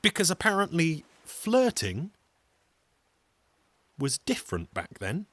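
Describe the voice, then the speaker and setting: A man's voice: on the high side, wildly swooping pitch, takes it slowly, a bit bright, no echo. A man with a British accent telling a scripted comic story in a wry, chatty way, full of asides. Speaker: storyteller and singer.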